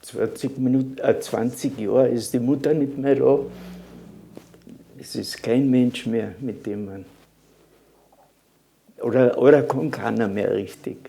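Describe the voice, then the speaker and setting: A man in his eighties with a Carinthian accent, whose first language is Slovene, recalling his life in an interview in a halting way, often restarting his sentences. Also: medium pitch, steady tone, vocal fry, warm tone. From Carinthia, Austria. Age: 80s. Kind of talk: reminiscing interview.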